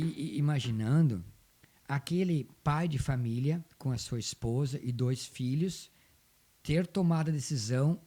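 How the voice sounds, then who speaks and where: Mellow, animated southern Brazilian man. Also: on the high side. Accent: southern Brazilian, Rio Grande do Sul. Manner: emphatic, repeats phrases for effect. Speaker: interview guest, folk dance group coordinator. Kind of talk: conversational storytelling.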